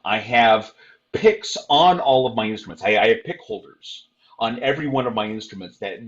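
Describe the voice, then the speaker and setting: An older man sharing practical performing advice on an online convention panel, loud-voiced, with many fillers and restarts. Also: lowish voice, expressive, moderate pace, muffled recording.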